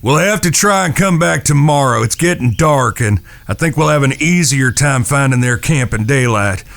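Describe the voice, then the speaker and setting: Creaky, easygoing cowboy leader played by a man. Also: mid-range voice, expressive, normal speed, fairly bright tone. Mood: decisive. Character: Phil, calm leader, eldest of outlaw brothers. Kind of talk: western audio drama acting.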